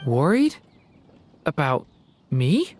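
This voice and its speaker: An actor playing a gentle, troubled young boy in a video game, speaking in a soft, hesitant, earnest way. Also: mid-range voice, huge pitch swings, very slow, slight background noise, exaggerated voice. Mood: remorseful, moved. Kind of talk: video game character acting. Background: music.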